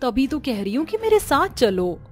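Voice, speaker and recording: Horror-story villager played by a woman: frightened wife, high-pitched, dramatic, music underneath. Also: sing-song, normal speed. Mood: urgent. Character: frightened village wives facing a murderous witch.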